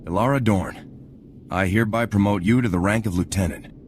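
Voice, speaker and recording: A man playing a confident, steady squad commander in a video game, speaking directly. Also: on the low side, brisk, slight background noise, lightly acted. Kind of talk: scripted video game acting.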